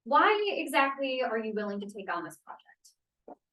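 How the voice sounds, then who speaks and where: A woman's voice: a little high, very animated, normal speed, pushed and bright. Woman acting spirited secret-society feminist in echoey room. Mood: guarded, firm. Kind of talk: table read of a play script. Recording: echoey room, distant microphone.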